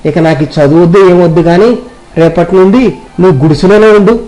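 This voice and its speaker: A man performs a poor beggar's young son in a storybook drama. His voice is fairly high, and he comes across as dutiful, wounded and self-sacrificing. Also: fast talker, mellow, natural voice. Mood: hurt, resolute.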